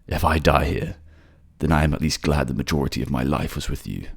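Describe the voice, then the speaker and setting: A man's voice: a bass voice, fairly even intonation, a little fast, creaky, breathy edge, exaggerated voice. A man playing a devoted, self-sacrificing lover in a fantasy audio drama, soothing and earnest. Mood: resigned farewell.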